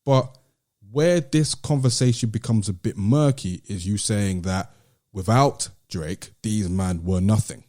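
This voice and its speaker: British man, lowish pitch, dark relaxed tone, podcast host, casual debate, emphatic repetition. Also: a little slow. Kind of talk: casual debate about music.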